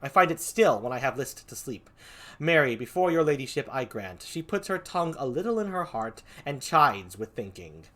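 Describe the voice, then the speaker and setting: A reader playing sardonic soldier, mocking, biting, theatrical, amateur Shakespeare, animated intonation. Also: mid-range voice, normal speed. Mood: dry mockery. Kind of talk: amateur Shakespeare read-aloud.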